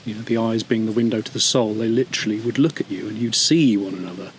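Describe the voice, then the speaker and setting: A man's voice: on the low side, quick pace, a little hiss or hum. A man in his fifties recalling his childhood, thoughtful and hesitant, with frequent fillers and restarts. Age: 50s. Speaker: interviewee sharing memories. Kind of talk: reflective personal testimony.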